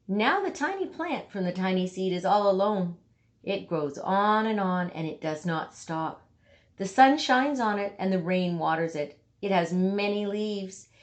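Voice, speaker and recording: A woman reading a children's picture book aloud, with dramatic emphasis and deliberate pauses for young listeners. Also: mid-range voice. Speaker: storybook reader.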